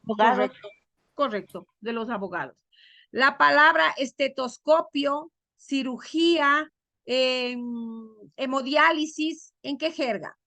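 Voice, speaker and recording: A woman teacher leads a class over a video call, her voice set high and recorded close and dry. She quizzes the students as she goes, stretching key words out slowly for emphasis. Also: bright, forceful tone, no room sound. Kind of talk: online class lecture.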